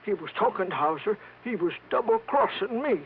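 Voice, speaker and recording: A weaselly, frightened man with a very high voice, stammering and pleading for his life. It is vintage radio drama, badly muffled, with some background hiss. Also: lively intonation, brisk. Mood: anxious pleading. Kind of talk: 1950s radio drama acting.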